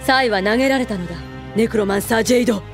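A woman's voice: on the high side, very fast, natural voice. A woman acting a cold, authoritative military instructor and zealot in an anime audio drama. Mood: stern, threatening. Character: stern, commanding female military instructor turned zealot. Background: background music.

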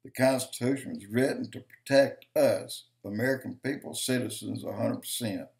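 An older man giving slow, emphatic preaching-style commentary to a webcam in his home office, counting off points and repeating lines for stress. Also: medium pitch, creaky, darkish tone. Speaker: video commentator.

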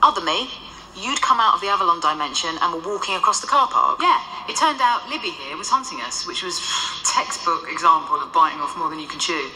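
An actress playing the ghost of a centuries-old nun in a radio drama, dry, sardonic and ruthless. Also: female voice, on the low side, brisk, reverberant, faint music behind, rough recording. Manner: dry, sardonic, explains calmly.

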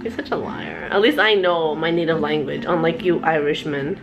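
A woman's voice: sits low, moderate pace, over music. A young woman vlogging with her family at home, chatting and teasing playfully to a handheld camera and mixing in Spanish words. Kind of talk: casual family chat to camera. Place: living room at home. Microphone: handheld camera close to face.

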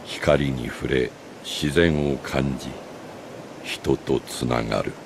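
An older man acting a solemn, remorseful old sage in a Japanese video game cutscene, speaking gravely and with deliberate pauses. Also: extremely low pitch, fairly even intonation, noisy background. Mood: solemn, earnest. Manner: grave, measured, philosophical delivery with deliberate pauses.

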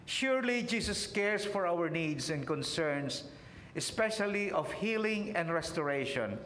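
Slow, high-voiced preacher in a reverberant church. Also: male voice, animated, fairly bright tone. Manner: slow, measured preaching with phrases falling away at the ends. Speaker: priest. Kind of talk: sermon. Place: church sanctuary, at a pulpit. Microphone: pulpit microphone on a stand. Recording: roomy church reverb, faint low rumble.

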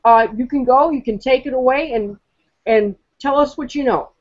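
Higher-voiced woman hosting a video call. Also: normal speed, darkish tone. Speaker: webinar host. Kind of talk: casual chat. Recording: muffled online call audio.

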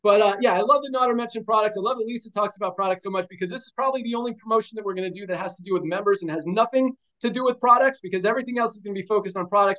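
A man's voice: sits high, a bit bright, lo-fi sound. A man in his forties hosting a team video call, rallying people with repeated hype phrases. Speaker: host of a sales team call. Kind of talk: energetic motivational call. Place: home room, video call. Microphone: webcam on a video call.

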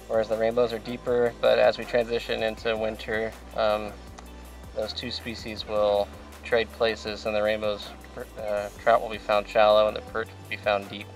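A man in his thirties with a Pacific Northwest American accent, hosting a fishing video from a kayak and explaining techniques in a relaxed way with plenty of fillers. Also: fairly low pitch, normal speed, faint music behind. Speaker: fishing show host. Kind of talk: casual instructional commentary. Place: outdoors on a kayak on a lake. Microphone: action camera mounted on the kayak.

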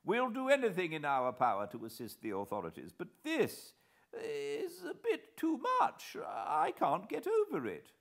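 A man voicing a flustered elderly English gentleman who talks in a hesitant, stammering way. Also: wildly swooping pitch, a little slow, creaky, exaggerated voice. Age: older. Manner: hesitant, stammering, high and quavering. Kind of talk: audiobook character voice.

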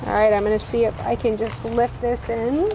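A woman chef walks through a recipe step by step in a relaxed, explanatory way, her voice sitting a little high. She is recorded outdoors on a lapel mic, with clear traffic and outdoor noise around her. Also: moderate pace. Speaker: guest chef demonstrating a recipe. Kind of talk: cooking demonstration. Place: outdoor kitchen showroom patio.

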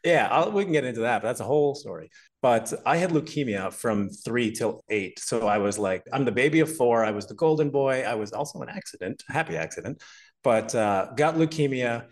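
Montreal guy in his 40s, fitness expert on a podcast, chatty and lively, tells stories, laughs a lot. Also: mid-range voice, fairly quick. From Montreal, Canada. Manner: animated, storytelling, laughs often. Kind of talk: casual interview chat.

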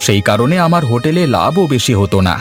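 A man voicing a humble, polite and obliging village eatery owner in an animated story. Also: medium pitch, fast talker, close to an everyday voice. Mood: earnest. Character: humble, welcoming male village eatery owner, proud husband. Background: faint background music.

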